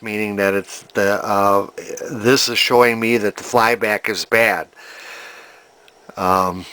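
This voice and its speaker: A man giving an informal, halting hands-on repair demonstration in an indoor workshop, explaining as he goes. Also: mid-range voice, pushed and bright, smooth, no room sound. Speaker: amateur vintage TV restorer.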